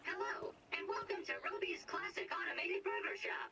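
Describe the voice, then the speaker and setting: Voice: moderate pace, pushed and bright, distant mic, a little hiss or hum. An actor voicing a polite, fussy burger-flipping robot, with a processed synthetic voice, formal wording and glitchy stutters, in a game audio log. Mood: cheerful, scripted. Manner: formal, literal, stuttering on words. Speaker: robot assistant.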